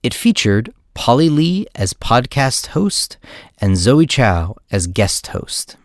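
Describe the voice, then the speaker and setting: A man's voice: mid-range voice, very animated, slow pace. A man reading scripted play credits as a podcast announcer.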